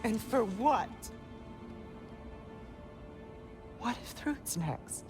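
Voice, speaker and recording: A middle-aged woman playing a fierce, protective, grieving goddess mother in a video game, intense and deliberate. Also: on the high side, expressive. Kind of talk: dramatic video game cutscene acting. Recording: music behind the voice.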